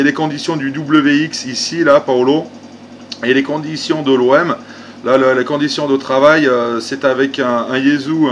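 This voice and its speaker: A man from France chatting unscripted as an amateur radio operator, conversational, repeating phrases and adding little tag words. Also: medium pitch. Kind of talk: unscripted radio contact chat. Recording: faint background noise.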